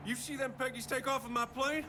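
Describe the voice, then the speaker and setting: A man's voice: very high voice, fairly even intonation, a little fast, background noise. An actor playing a hot-tempered, foul-mouthed male bush pilot who breaks into swearing, emotional outbursts. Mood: desperate. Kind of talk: video game cutscene acting.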